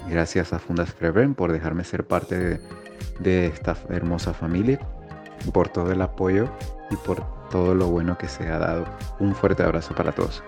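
A Venezuelan man in his twenties with a very low, deep voice delivers an earnest testimonial at an even, measured pace. Clear music plays behind him. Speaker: pastor sending a recorded message. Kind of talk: prepared testimonial message.

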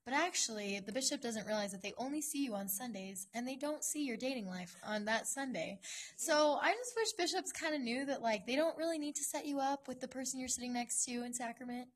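A woman's voice: high-pitched, animated, fairly quick. A young woman student in a classroom, chatting playfully and joking. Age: young adult. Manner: playful, jokey. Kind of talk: casual chat.

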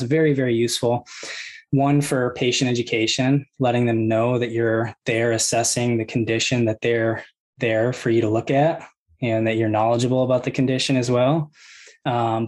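A man in his thirties, a physical therapist, calmly explaining his clinical approach with many ums and you-knows. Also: medium pitch. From United States. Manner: measured, filler-heavy, explains step by step.

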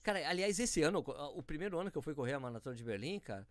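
A man's voice: on the high side, rapid-fire, dry recording. A man with a Brazilian accent chatting casually as a podcast co-host, telling stories and reading listener messages aloud. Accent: Brazilian Portuguese. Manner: animated storyteller, reads listener messages aloud. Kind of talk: casual chat among running friends.

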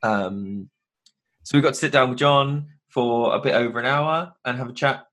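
A male podcast host with a stuffed-up nose from hay fever, and his voice sits at an ordinary middle pitch. He chats in a rambling, self-correcting way over a video call. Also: moderate pace, a bit bright. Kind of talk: casual podcast intro.

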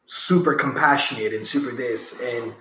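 A male interviewer with a bright, forward voice, winding through long, loosely built questions in a relaxed chat. His voice bounces around an echoey room. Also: medium pitch, normal speed, slight background noise. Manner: rambling, loosely worded questions. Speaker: interviewer, host. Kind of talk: casual interview conversation.

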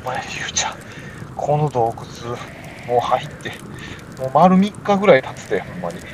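A man's voice: mid-range voice, big pitch swings, normal speed, lightly acted. A man with a Kansai accent playing an anxious, weary stranded climber in an amateur comic radio drama, earnest and exclamatory. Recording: noisy amateur recording.

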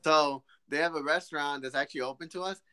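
Young man, twenties, bright voice, fairly high, rambling, excitable, casual podcast chat. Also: fast talker, smooth. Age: 20s. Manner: rambling, restarts a lot, excitable. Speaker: podcast co-host.

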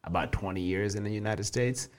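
A young man from Kenya, a fitness coach, speaks in a deep, low voice. He talks casually and with energy, stressing and repeating words as he makes his point. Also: a little fast. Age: 20s. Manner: animated, emphatic, repeats words. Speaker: guest, personal trainer. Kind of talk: casual interview chat.

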